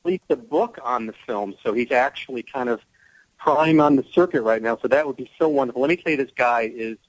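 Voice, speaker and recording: A man's voice: medium pitch, a little fast, no room sound, poor audio. A middle-aged American man from the Chicago area, an actor telling anecdotes as a radio guest, effusive and dramatic in his emphasis. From Chicago area, United States. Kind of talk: radio interview, anecdotal storytelling.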